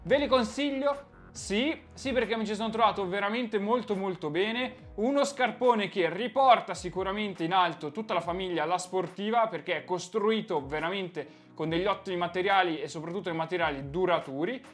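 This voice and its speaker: A man's voice: high voice, normal speed, few pauses, fairly bright tone. A young man giving an enthusiastic, emphatic product review to camera. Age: young adult. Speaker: gear reviewer. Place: indoor room at a desk. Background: background music.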